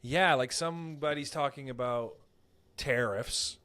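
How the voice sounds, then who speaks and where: A man's voice: medium pitch, a little slow, pushed and bright. A young man co-hosting a podcast, rambling through crude stories in casual banter and acting out quoted voices. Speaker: podcast co-host.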